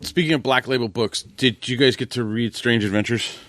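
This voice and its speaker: A man co-hosting a casual podcast, informal and a little halting in how he phrases things. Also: mid-range voice, a bit bright. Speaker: podcast co-host. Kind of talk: casual chat.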